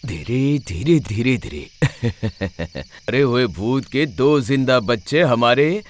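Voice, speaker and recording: Man playing a sly, animated village husband. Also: mid-range voice, slow. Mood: amused, sly. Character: clever, mischievous village husband, playfully cunning. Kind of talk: animated cartoon story acting.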